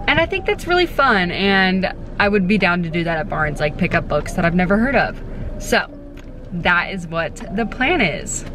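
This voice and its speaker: A woman's voice: lowish voice, background noise. A young woman from Texas, talking chattily to her phone camera from a parked car, with lots of "like"s. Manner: chatty, bubbly, many "like"s. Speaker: book vlogger. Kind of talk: casual vlog chat to camera. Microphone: phone camera at arm's length. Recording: soft background music under voice.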